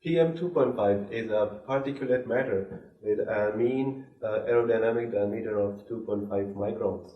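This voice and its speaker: A man's voice: lowish voice, normal speed, lo-fi sound. A man from Pakistan, a doctoral student, giving information with some hesitant fillers. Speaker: PhD student. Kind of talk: scripted discussion. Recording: echoey room, distant mic.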